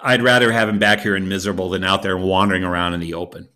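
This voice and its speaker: A worried queen pleads and insists in a low, natural voice, as a male storyteller speaks her part. She sounds anxious and wants her husband back. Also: little pitch movement, racing, sharp tone. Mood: pleading.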